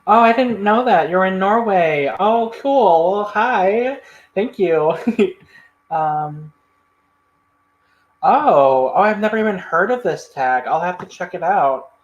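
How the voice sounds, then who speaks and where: A man's voice: sits high, animated, takes it slowly, echoey room, lo-fi sound. A man hosting a casual livestream, reading and answering chat comments in a rambling, friendly way with lots of fillers and restarts. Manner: friendly, thanks viewers often. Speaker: livestream host.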